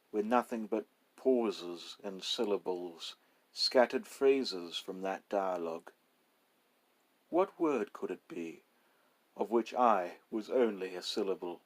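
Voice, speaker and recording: Slow, low-voiced male story narrator. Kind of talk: literary story narration, measured and dramatic.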